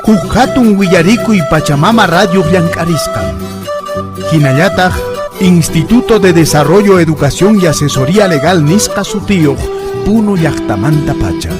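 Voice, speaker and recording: A man's voice: high-pitched, animated, moderate pace. A man reading a scripted radio programme announcement. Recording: voice over loud music.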